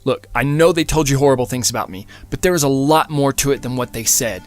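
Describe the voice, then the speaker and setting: A man's voice: medium pitch, soft background music, close to an everyday voice. Man playing an urgent young male fugitive. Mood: urgent, pleading. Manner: hurried, dismissive, insistent.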